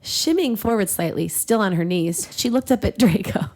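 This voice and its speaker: A woman with a noticeable creak in her voice reads a story aloud as a podcast host. She plays it for comedy, giggly and quick to step out of the text for banter. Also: mid-range voice, expressive, a little mellow, poor audio. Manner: giggly, breaks off to joke. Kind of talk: comedic read-aloud of fan fiction with banter.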